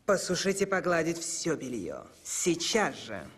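A woman playing a stern, cold mistress of the house in an animated film, curt and imperious. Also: deep voice, measured pace, noisy background. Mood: cold, commanding.

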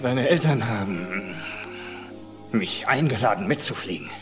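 A male actor playing a calm, kindly middle-aged paleontologist in a film dub, speaking in a measured, quietly dry way. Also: on the low side, over music. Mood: dry, appreciative. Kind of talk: German film dubbing dialogue.

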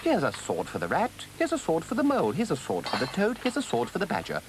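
Male storybook rat, played by a man: fussy, precise, excitable, raised pitch, lilting widely, light hiss. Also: normal speed. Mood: busy, excited. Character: anxious, fussy, busy male water rat. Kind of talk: animal storybook character voice.

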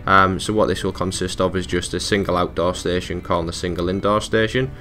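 A man presenting a technical support tutorial, talking through software steps in a casual, informal, matter-of-fact way. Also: low voice, steady tone, fairly quick. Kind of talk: casual step-by-step software walkthrough.

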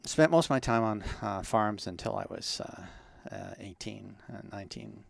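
A man in his sixties from Ontario, answering interview questions in a measured, hesitant way with many ums. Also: lowish voice, expressive, vocal fry, no echo. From Ontario, Canada. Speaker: guest, ergonomist.

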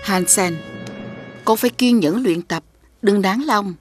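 A woman voicing a patient, encouraging village mother, gentle and instructive, in an animated fairy tale. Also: mid-range voice, takes it slowly, over music, lightly acted.

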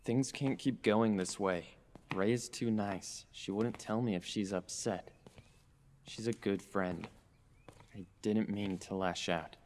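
A man's voice: lowish voice, fairly even intonation, slow pace, darkish tone. An actor playing a moody, apologetic young man in an audio drama, subdued in manner. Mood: remorseful. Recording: long reverb, faint noise.

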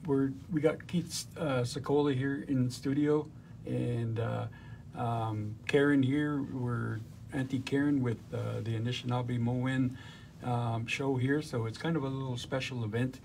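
A man's voice: mid-range voice, steady tone, measured pace, gravelly, darkish tone, a little hiss or hum. A man hosting a radio show, chatting in an easygoing, agreeable way with lots of fillers. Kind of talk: casual radio chat.

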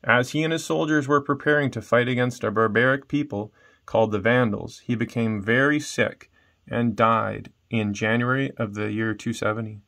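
A man's voice: mid-range voice, steady tone, moderate pace, gravelly, a bit bright. A man, reading a children's story aloud as narrator. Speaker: storybook reader. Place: small room indoors at home.

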